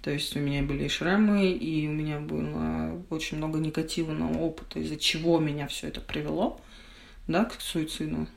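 A young woman giving a candid personal account as a podcast guest, speaking in halting, reflective phrases. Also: low voice, steady tone, gravelly.

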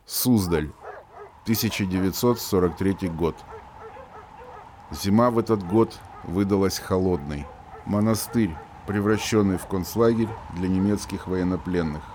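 A man's voice: deep voice, measured pace, darkish tone, a little hiss or hum. A man giving scripted dramatic narration for a radio play.

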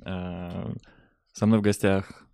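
Low-voiced man hosting a dry studio podcast. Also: flat delivery, slow, creaky, dark tone, clean tone, dry recording. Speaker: podcast host. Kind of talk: casual podcast chat.

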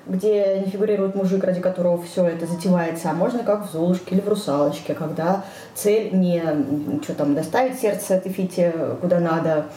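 A woman co-hosting a podcast, talking casually in a reflective, halting manner with some hedging. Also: fairly low pitch, warm tone. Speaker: podcast co-host. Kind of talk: casual conversational discussion. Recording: echoey room with faint noise.

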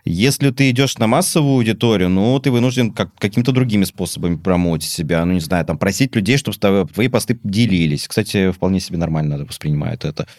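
A man talking in a quick, breezy and provocative way, a guest in a casual podcast chat. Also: low-pitched, darkish tone, no room sound, studio quality.

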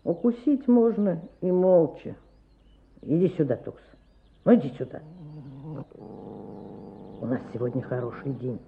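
A woman's voice: low voice, big pitch swings, unhurried, long pauses, exaggerated voice. A woman playing a spiteful, grumpy old landowner woman in a radio play, muttering and sneering. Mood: gloating. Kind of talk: radio play character monologue. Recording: muffled, narrow-band old radio recording.

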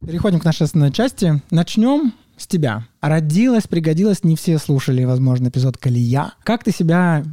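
A man's voice: a little high, animated, a little fast, hardly any pauses, dark tone, no echo, clean studio sound. A man from Russia hosting a casual podcast interview in an informal, joking way, with occasional swearing. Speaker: podcast host.

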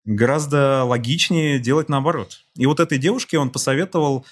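A man's voice: medium pitch, brisk. A man who is a guest on an interview podcast, telling a story at length and acting out the people he quotes. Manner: explains at length, acts out quoted voices, small laughs. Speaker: podcast guest, creative director.

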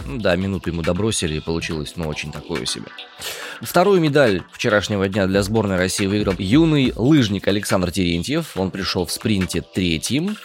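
Lively low-voiced male podcast host. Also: fairly quick, soft background music. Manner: lively, chatty, rises in pitch for emphasis. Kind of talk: conversational news podcast.

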